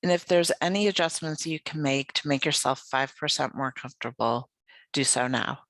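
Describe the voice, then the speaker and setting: A meditation guide leading a yoga nidra practice in a soothing, unhurried, gently inviting way with pauses. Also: medium pitch, no room sound.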